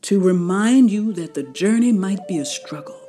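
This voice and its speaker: An actress playing a solemn, mystical healer priestess, chanting ceremonial invocations in a measured way. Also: female voice, medium pitch, animated, warm tone, natural voice. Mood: reassuring. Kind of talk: incantation in an audio drama.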